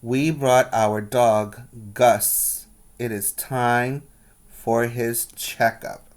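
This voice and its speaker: Slow-paced male teacher reading aloud. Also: medium pitch, steady tone. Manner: instructional, asking the listeners questions. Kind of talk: children's read-aloud with explanatory asides.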